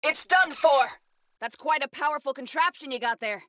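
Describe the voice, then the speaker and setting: Intense, bright-voiced young warrior woman. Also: high voice, moderate pace, no echo, studio quality. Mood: grim, determined. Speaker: video game voice actor. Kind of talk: scripted game combat barks and companion lines.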